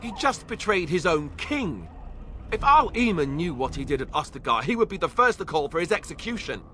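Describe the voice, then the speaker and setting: An actor playing an idealistic young warrior in a video game, earnest and expressive with a touch of wry scepticism. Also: male voice, fairly high pitch, fairly quick, soft background music. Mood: indignant. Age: young adult. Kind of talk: dramatic video game acting.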